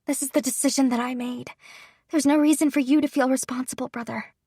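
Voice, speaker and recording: Grieving young noble commander, high and tearful, woman narrator voicing her, dry close sound. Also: steady tone, fairly quick, warm tone, slightly rough, no echo. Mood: somber.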